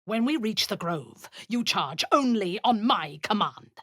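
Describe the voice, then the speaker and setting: An actress voicing a cold, imperious woman warrior-commander and zealous cultist in a video game, speaking in a low, husky, half-whispered menace. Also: big pitch swings, measured pace, a bit bright, no room sound, studio quality, full character voice. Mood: commanding. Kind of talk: video game character acting.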